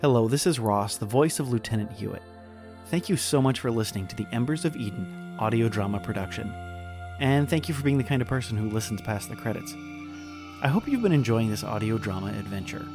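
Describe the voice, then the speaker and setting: A man with a gravelly voice delivering a scripted outro as the creator of an audio drama. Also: fairly low pitch, animated, fairly quick. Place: recorded at home. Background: music.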